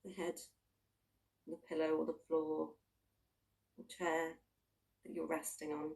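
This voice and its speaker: A woman with a low voice leads a guided meditation, sitting a little way from the microphone. She gives gentle, invitational instructions, leaving long pauses between unhurried phrases. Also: little pitch movement, gravelly, recorded from a distance, poor audio. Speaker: mindfulness practitioner leading a guided body scan.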